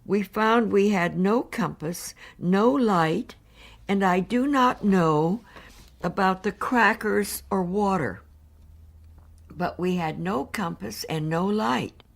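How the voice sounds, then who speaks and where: An actress playing a married woman survivor, giving composed, earnest testimony in a measured, formal, precise way. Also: mid-range voice, creaky. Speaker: actor reading witness testimony. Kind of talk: dramatised reading of hearing testimony.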